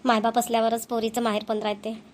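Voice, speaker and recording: A woman's voice: a little high, monotone, fast talker, a little hiss or hum, lightly acted. A woman voicing a doting, grumbling older mother-in-law in a family cartoon, with a folksy Vidarbha Marathi accent. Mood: aggrieved grumbling. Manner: complaining, folksy, repetitive. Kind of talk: family comedy cartoon dialogue.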